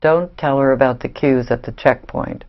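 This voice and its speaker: A woman's voice: rumbling low, steady tone, moderate pace. An actress in a play reading, playing a defiant, hard-edged woman in an Israeli family, on a dull recording. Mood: guarded, insistent.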